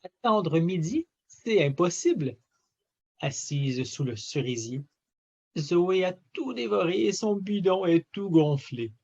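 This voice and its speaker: A man reading a children's story aloud in an expressive, theatrical way with emphatic phrasing. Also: high voice, takes it slowly, gravelly, breathy edge, highs cut off. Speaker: storybook reader.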